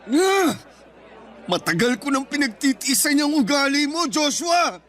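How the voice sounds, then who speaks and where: A man's voice: very high-pitched, very animated, a little slow, a bit bright, full character voice. A man playing a resentful breadwinner brother in his thirties in a Filipino radio drama, with a Filipino accent and an emotional, wounded delivery. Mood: hurt anger. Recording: music bed under the voice.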